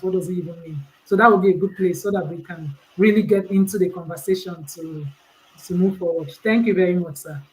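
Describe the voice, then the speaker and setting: A woman's voice: medium pitch, a little mellow, rough recording. Chatty Nigerian woman hosting a call. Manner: chatty, restarts sentences often. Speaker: host of an online panel. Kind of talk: casual live-stream hosting. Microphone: computer mic on a video call.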